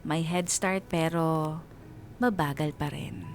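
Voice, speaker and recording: A woman with a Filipino accent narrating a scripted first-person story as a radio DJ, reflective and sentimental, with pauses. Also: deep voice, slight background noise. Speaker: radio DJ reading a listener's story.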